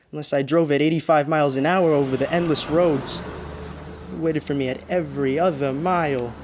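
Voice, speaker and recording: An actor playing a frightened, exhausted driver in a radio drama, halting and muttering to himself. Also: male voice, on the high side, a little mellow, a little hiss or hum, natural voice. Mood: fearful, desperate. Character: frightened, exhausted male driver haunted by a phantom. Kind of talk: radio drama monologue and dialogue.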